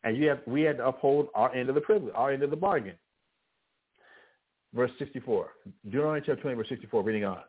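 A man teaching a scripture class, preaching in a halting way with many restarts and fillers. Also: medium pitch, slightly rough. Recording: phone-like narrow band.

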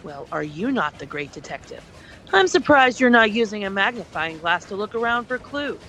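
An actress playing a prickly, sarcastic wife in a radio drama, argumentative and mocking. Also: female voice, mid-range voice, big pitch swings, normal speed, bright, forceful tone, slight background noise, low-quality recording. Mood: irritated, sarcastic. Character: prickly, sarcastic wife bickering with her husband.

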